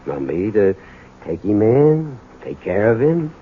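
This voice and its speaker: An actor playing a bitter, weary gas station owner with a gruff, curt, colloquial manner in a 1970s radio drama. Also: male voice, fairly low pitch, sing-song, slow. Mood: subdued, bitter. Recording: tinny, noisy old radio broadcast recording.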